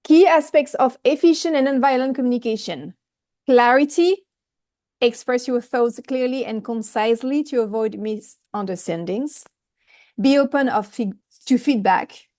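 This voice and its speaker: A woman with a strong French accent speaking on an online video call, careful and a little halting over her words. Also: on the high side, a little mellow. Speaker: volunteer timekeeper at a group meeting. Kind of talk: reading a prepared announcement aloud.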